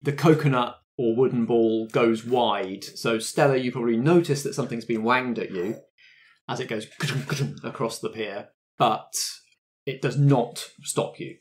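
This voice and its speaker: A game master with a mid-pitched voice runs a tabletop roleplaying session. The delivery is colloquial and encouraging, moving between rules and description. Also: normal speed, slight background noise.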